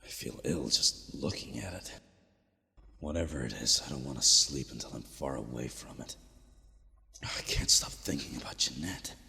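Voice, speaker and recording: An actor playing a weary, gritty space soldier who records a grim personal log in an audio drama. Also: male voice, a bass voice, normal speed, creaky, pushed and bright, in a large hall, exaggerated voice. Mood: weary, uneasy. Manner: brooding, gritty, swears.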